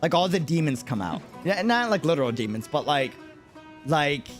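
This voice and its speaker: A man's voice: on the high side, very animated, moderate pace, soft background music. A man streaming in a casual chat with viewers, talking animatedly and repeating phrases for emphasis. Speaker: streamer and content creator.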